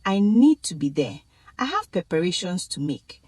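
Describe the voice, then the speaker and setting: Woman voicing a proud, animated party-loving wife. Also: lowish voice, normal speed, no echo. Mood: insistent.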